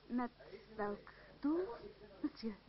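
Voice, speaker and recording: A woman's voice: fairly high pitch, expressive, extremely slow, crowd noise. A woman acting a proud, wary young actress in an old radio drama, speaking formally and with theatrical reproach. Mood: wary, doubting. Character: young actress Climène, proud, wary, won over by love. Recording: dull, noisy old radio play recording.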